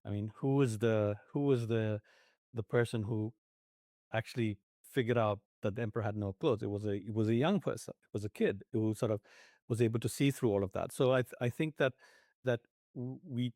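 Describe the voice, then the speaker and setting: Guest voice: man in his 40s, low and mellow, thoughtful, halting, dry sound. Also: dry recording. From Nigeria, Ethiopia and the UK. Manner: reflective, with frequent restarts and fillers. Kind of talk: conversational interview.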